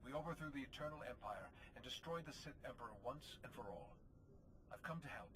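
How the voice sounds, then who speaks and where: A man performs an assured, calm Jedi commander in a game cutscene. His voice is mid-pitched and earnest, with faint ambience in the background. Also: fairly quick, background noise, close to an everyday voice. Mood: resolute. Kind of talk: video game cutscene acting.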